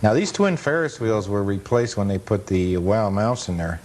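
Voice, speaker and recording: A man with a deep voice speaks over faint rumbling noise. He is a guest enthusiast, explaining details plainly and repeating words for emphasis. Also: normal speed, darkish tone. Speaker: guest author and amusement park enthusiast. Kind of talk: interview answers and film commentary.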